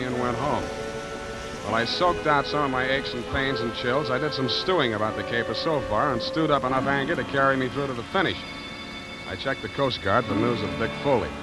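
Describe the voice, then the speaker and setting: An actor playing a cynical, tough private detective in a 1940s radio noir drama, with clipped, sardonic delivery. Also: male voice, mid-range voice, natural voice, low-quality recording. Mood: wry, determined. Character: hard-boiled male private detective, wry, cynical, tough. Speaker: actor and first-person narrator. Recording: vintage radio, music and rain behind.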